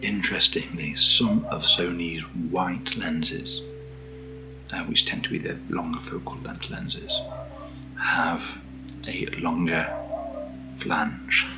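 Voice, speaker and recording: A British man speaking in a soft, breathy near-whisper as he hosts a casual ASMR video, gentle and unhurried, close to the microphone. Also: low voice, flat delivery, music in the background, poor audio. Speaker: ASMR video host reviewing camera gear. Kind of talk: soft-spoken ASMR, casual unboxing chat.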